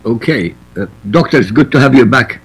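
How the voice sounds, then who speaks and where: Husky Iraqi-born man arguing forcefully. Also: mid-range voice, lively intonation, a little fast, poor audio. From Iraq. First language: Arabic. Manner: forceful, emphatic quoting. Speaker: guest. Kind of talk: debate argument.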